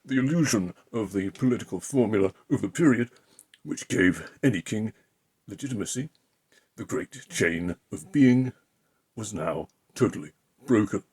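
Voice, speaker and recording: A man with a lowish, rough-edged voice reads a political essay aloud, putting on the air of a pompous pundit. His phrasing is deliberate, with weighty pauses for emphasis. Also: expressive, a little mellow. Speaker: amateur reader of a political article. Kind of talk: reading an opinion essay aloud in an impression of a pundit.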